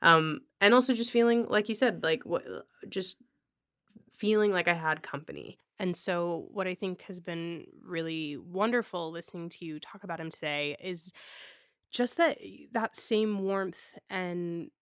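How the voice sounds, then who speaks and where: A woman's voice: on the low side, measured pace, fairly bright tone, clear, resonant tone. A woman hosting a casual podcast conversation, talking in an effusive, outgoing way with a readiness to laugh. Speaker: podcast host.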